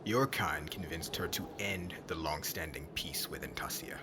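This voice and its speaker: Man acting a deep-voiced, brooding witch hunter. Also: normal speed, heavy background noise. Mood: bitter, accusing. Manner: cold, commanding, brooding. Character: stern, bitter male witch hunter, hateful toward witches. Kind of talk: ASMR roleplay acting.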